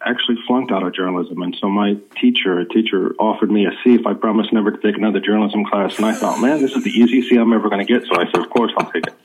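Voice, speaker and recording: An American man in his forties, a journalist guest, speaking in a rambling, halting way with many fillers. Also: lowish voice, breathy edge. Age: 40s. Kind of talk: remote interview. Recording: tinny phone line.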